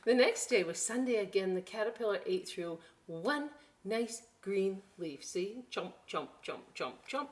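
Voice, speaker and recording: Slow-paced woman reading a children's storytime. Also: mid-range voice, lively intonation. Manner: playful, conversational, addressing young children as friends. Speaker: library storytime reader. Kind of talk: children's picture-book read-aloud with chatty asides. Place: inside a library.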